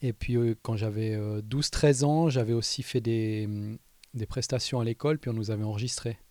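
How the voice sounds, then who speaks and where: Dark-voiced man with a light Valais accent. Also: mid-range voice, fairly even intonation, normal speed, dry recording. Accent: light Valais Swiss French. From Valais, Switzerland. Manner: thoughtful, hesitant, many fillers. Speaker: interviewee. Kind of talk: casual street interview.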